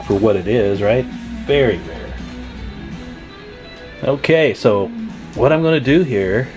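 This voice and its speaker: A man's voice: on the low side, darkish tone. Casual, chatty man demonstrating repairs. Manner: chatty, informal, folksy. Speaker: host of a CB radio repair channel.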